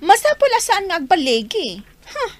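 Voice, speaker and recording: A woman playing a scheming, excitable young woman in a radio drama. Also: very high-pitched, normal speed, exaggerated voice.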